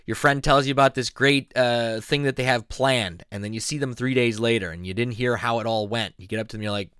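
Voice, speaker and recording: A middle-aged man from southeastern Pennsylvania giving a playful, animated casual video lesson, laughing often. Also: medium pitch, brisk, clean tone, no echo, studio quality. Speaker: YouTube language teacher. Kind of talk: casual vlog-style lesson.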